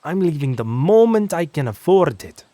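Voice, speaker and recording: A man's voice: fairly high pitch, big pitch swings, moderate pace, warm tone, no echo, voice pushed up. A man voicing a cocky, scornful small-town teenage boy with a blunt, scoffing manner.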